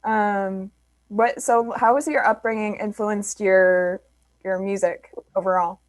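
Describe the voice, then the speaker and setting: A woman's voice: medium pitch, steady tone, takes it slowly, no echo. A woman hosting an interview over a video call, upbeat and friendly, with short affirmations.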